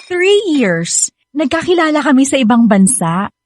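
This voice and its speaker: A fairly high, dry-recorded voice of a bubbly young Filipino woman. She is a gushing, playful daughter in a radio drama. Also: sing-song, unhurried, dry recording. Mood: chatty. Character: bubbly, chatty adult daughter home from abroad.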